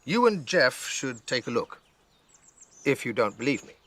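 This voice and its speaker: Man voicing a playful old grandmother, lively pitch. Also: a little slow. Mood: gently teasing.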